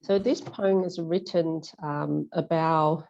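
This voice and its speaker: Low, very mellow woman with a cold. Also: fairly even intonation, slow, creaky, highs cut off. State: has a cold, clearing throat. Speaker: poet. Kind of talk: introducing and reading own poem.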